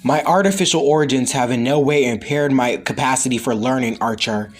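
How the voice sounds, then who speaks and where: A man's voice: mid-range voice, a little fast, soft background music, lightly acted. A man voicing a logical android superhero from a comic, speaking in formal, precise phrases. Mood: coolly formal. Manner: stiffly formal, precise wording.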